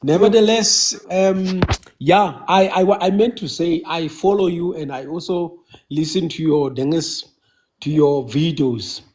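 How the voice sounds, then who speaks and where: A South African man whose first language is Xhosa, calling in to a casual live chat and talking haltingly, with many restarts and fillers. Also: a little high, darkish tone, slight background noise. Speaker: caller.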